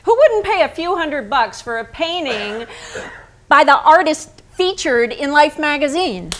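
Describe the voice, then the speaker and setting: An actress in a staged reading playing the devoted, practical wife of a painter, with a dry, wry delivery. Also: female voice, high voice, sing-song, unhurried, fairly bright tone, a little hiss or hum, exaggerated voice. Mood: wry, prodding. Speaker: stage actor.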